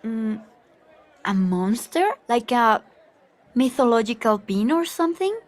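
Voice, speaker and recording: An actress playing a curious, puzzled young listener in a radio drama, asking in a wondering manner. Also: female voice, on the high side, lively intonation, measured pace, a faint crowd. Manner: questioning, wondering.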